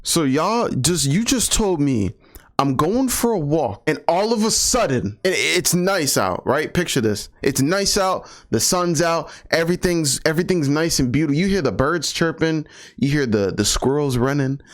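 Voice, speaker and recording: A man's voice: fairly high pitch, animated, normal speed, soft background music. A man giving casual, profane reaction commentary, incredulous and joking, slangy and repeating himself for emphasis. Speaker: reaction video host.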